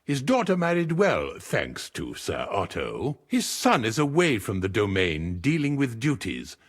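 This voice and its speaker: A man playing a dutiful advisor to a lord in a video game, husky-voiced, formal and explanatory. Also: mid-range voice, wildly swooping pitch, unhurried. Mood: matter-of-fact. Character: dutiful, formal lord's advisor, informative and exact. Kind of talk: video game cutscene acting.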